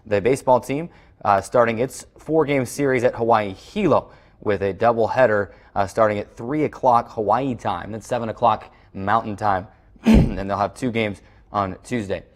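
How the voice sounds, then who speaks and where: A man hosting a college sports show, steadily reading the week's schedule with occasional fillers. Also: on the low side. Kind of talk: scripted sports schedule recap.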